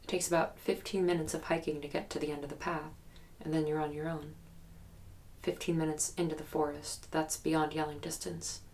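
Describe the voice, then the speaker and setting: A woman's voice: low-pitched, level tone, darkish tone, slight background noise, close to an everyday voice. An actress playing a guarded young woman in an audio drama, recounting a haunted childhood memory in a hesitant, reflective, documentary-interview way. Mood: uneasy, haunted. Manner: hesitant, reflective storytelling with pauses.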